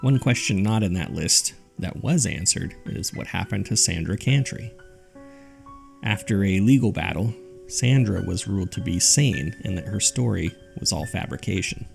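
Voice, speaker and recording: Man, low voice with heavy fry, scripted horror podcast narration, music underneath. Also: expressive, moderate pace. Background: background music.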